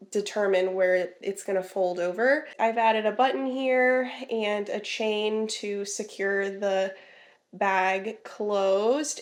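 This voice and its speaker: Woman, congested, a bit nasal, casual vlog chat, ums and restarts, mid pitch. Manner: chatty, relaxed, many ums and restarts. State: congested, slightly nasal from a sinus infection. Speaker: crochet vlogger.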